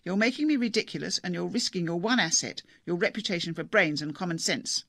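A man voicing a bitterly angry wife shouting at her husband. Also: high-pitched, lively intonation, fairly quick, vocal fry, no room sound, studio quality, heavily acted. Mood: furious. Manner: furious, shouting.

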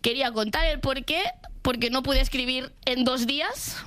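A woman with a Spanish accent from Spain speaks in a high, bright voice. She delivers comic personal rants and casual banter as a show contributor, exaggerating her complaints and mocking herself. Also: moderate pace.

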